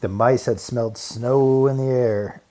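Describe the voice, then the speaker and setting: A man reads a children's story aloud in a mid-pitched voice. He goes very slowly, with deliberate pauses and dramatic emphasis on key words. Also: steady tone, warm tone. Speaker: audiobook narrator.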